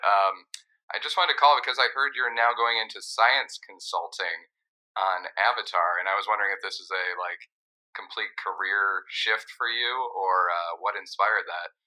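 A man leaving a casual voicemail in a hesitant, halting manner. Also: lowish voice, sharp tone, poor audio. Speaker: caller leaving a message.

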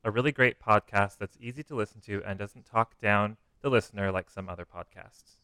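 A man's voice: lowish voice, a little fast, bright, forceful tone, smooth, no room sound, clean studio sound. An American man co-hosting a podcast, curious and chatty, asking questions and chuckling. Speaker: podcast co-host. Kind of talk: casual podcast chat.

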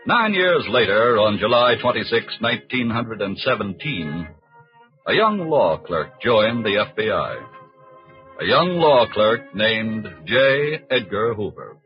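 Animated American 1940s radio announcer, a man. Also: on the low side, a little slow. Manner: formal, solemn, emphatic. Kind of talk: 1940s radio scripted narration. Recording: muffled vintage 1940s radio recording. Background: music behind the voice.

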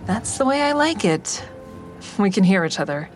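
A woman's voice: medium pitch, very animated, normal speed, soft background music, lightly acted. A woman playing an awkward, smitten survivor who narrates her own story in an audio drama, confiding and self-deprecating. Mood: curious, confiding.

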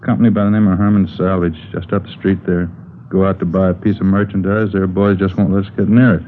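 A man's voice: deep voice, level tone, fast talker, narrow, tinny audio, natural voice. An American man playing a glum, beleaguered wrecking-yard owner in a 1950s radio drama, plain-spoken and resigned. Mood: resigned, complaining. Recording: old band-limited radio broadcast, faint noise.

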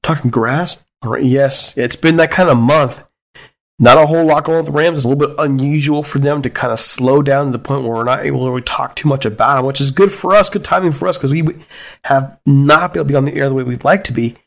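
Man, podcast host, easygoing, chatty, mid-pitched, plain voice. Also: quick pace, gravelly. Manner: easygoing, chatty, asks open questions. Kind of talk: casual podcast chat.

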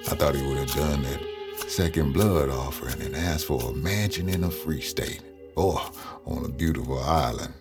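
A man's voice: a bass voice, normal speed. An actor playing a grave storyteller with a Southern US accent, recounting his past in an audio drama. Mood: reflective. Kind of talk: first-person audio drama narration. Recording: music behind the voice.

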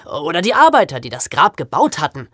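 A woman's voice: deep voice, sing-song, a little fast, sharp tone, dry recording, studio quality. A young woman co-hosting a podcast in a conversational, storytelling way. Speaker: podcast co-host.

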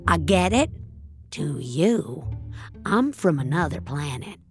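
Woman acting an indignant, slow-talking elderly woman. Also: deep voice, big pitch swings, faint music behind, exaggerated voice. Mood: growling anger. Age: older. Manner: indignant, insistent. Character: angry, desperate elderly woman bank customer. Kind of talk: audio fiction acting.

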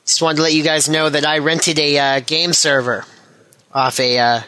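A man's voice: on the high side, flat delivery, moderate pace, pushed and bright, clear, resonant tone. A man giving a casual, homemade recorded message as a spot for a podcast.

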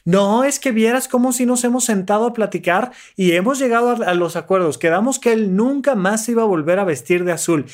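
A man's voice: high-pitched, fairly quick, few pauses, pitched above the performer's own voice, close to an everyday voice. A man doing an indignant complaining partner. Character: exasperated adult complaining about a partner.

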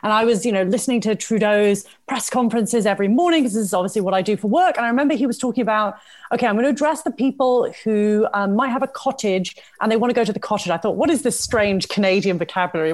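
Brief: quick, articulate British woman, panel guest on a video call, laughs lightly between points. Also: a little high. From United Kingdom. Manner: brisk, articulate, laughing asides. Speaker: panelist on public communication.

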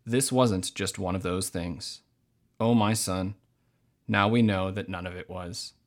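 Guy with a lowish voice, novelist on a podcast, measured and thoughtful. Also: steady tone. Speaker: novelist guest. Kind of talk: literary reading and thoughtful interview answers.

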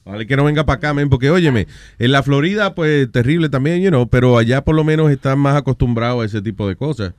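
A man's voice: mid-range voice, quick pace, darkish tone, no echo. A man hosting a casual radio show, chatting in Spanish mixed with English and teasing as he goes. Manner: joking, teasing. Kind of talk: lively bilingual casual chat.

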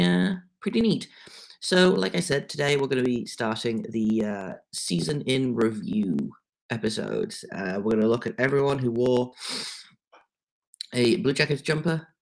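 A man's voice: medium pitch, creaky, poor audio. An English man hosting a sports podcast, talking casually with many fillers and restarts. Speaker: podcast host.

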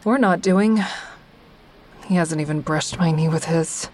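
Lowish-voiced, observant woman narrator. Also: a little slow, a little mellow, slight background noise. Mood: wry, uneasy. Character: observant, wry woman in a survivors' support group. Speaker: narrator and character. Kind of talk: first-person audio drama narration.

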